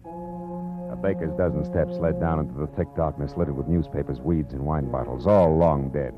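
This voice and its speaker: An actor playing a wry, terse, hard-boiled private detective who also narrates in the first person, in 1940s radio style. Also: male voice, rumbling low, sing-song, normal speed, music in the background. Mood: narrating. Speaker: narrator and lead character. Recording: dull lo-fi old radio recording.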